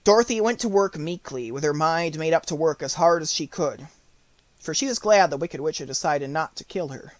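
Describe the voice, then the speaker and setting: A man narrates a storybook audiobook. His voice is on the high side, and he reads in measured, neatly phrased sentences. The sound is very dry, with no room around it. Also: animated, no room sound.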